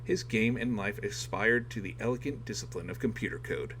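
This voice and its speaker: A man's voice: lowish voice, a little fast, fairly bright tone, slight background noise, low-quality recording. A man reading a nonfiction book aloud as dramatic scripted narration. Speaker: audiobook reader.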